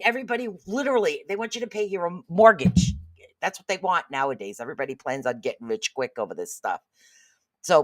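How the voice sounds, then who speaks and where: A host in their late fifties talks loosely through viewer comments, circling back and repeating phrases. The voice sits at a middle pitch, and the recording is dry with no room sound. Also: pushed and bright, no echo. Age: 50s. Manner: rambling, repeats phrases, frequent "literally" and "okay?". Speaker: livestream host.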